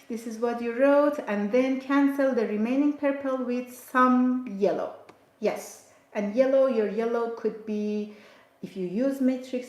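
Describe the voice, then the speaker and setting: A woman's voice: on the high side, a little mellow. A woman whose first language is Farsi, a hair color educator, giving a casual live tutorial with fillers and restarts. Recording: echoey room.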